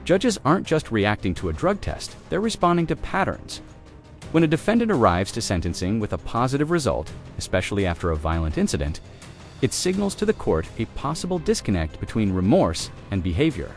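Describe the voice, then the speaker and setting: A man's voice: medium pitch, wildly swooping pitch, fairly quick, soft background music. A man narrating scripted legal commentary in a polished, dramatic way.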